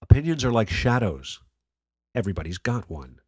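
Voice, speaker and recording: A man acting as a skeptical late-night talk radio host with a fairly low voice, recorded close and dry. He challenges his callers with wry, sardonic banter in a radio play. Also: big pitch swings, normal speed, darkish tone, breathy edge, no room sound. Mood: doubtful, exasperated.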